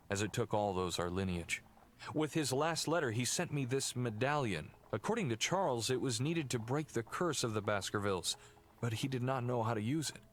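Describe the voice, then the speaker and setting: An actor playing an earnest, uneasy aristocratic heir in a video game, speaking formally and courteously. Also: male voice, on the low side, slight background noise, lightly acted. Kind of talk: scripted video game dialogue.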